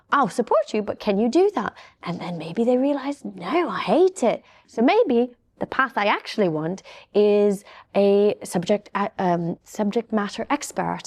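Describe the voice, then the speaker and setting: A soft-spoken woman talking on a tech panel. She has a mid-range voice and a measured, thoughtful delivery, and she slows down when she wants a point to land. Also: mid-range voice. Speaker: panel guest. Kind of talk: casual panel discussion.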